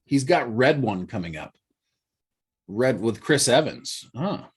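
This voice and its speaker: A man's voice: mid-range voice, very animated, slow pace, breathy edge. Man in his forties, musing chatter. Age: 40s. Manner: musing, thinking aloud. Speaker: podcast co-host. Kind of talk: casual chat.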